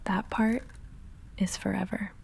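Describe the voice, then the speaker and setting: Female goth vampire, played by a woman, intimate ASMR roleplay: breathy, heavily creaky, unhurried and halting, intense but holding back. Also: medium pitch, a little hiss or hum. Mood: tender, yearning. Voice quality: breathy, often whispering, creaky. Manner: halting, hushed, confessional with dry deadpan asides. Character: female goth vampire roommate, lovestruck, restrained, nervously intense.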